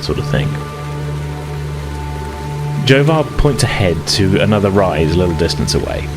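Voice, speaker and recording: Man, low pitch, game master narration, hesitant improvised phrasing, music and rain ambience. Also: sing-song, moderate pace. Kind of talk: narrating a tabletop roleplaying game. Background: music, rain sound effects.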